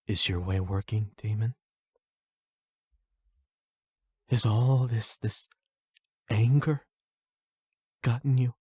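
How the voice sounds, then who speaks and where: A man voicing a calm, patient older male counselor, fatherly and reassuring, in a soft-spoken audio roleplay. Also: fairly low pitch, breathy edge, no room sound, clean studio sound. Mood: concerned. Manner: slow, measured, reassuring.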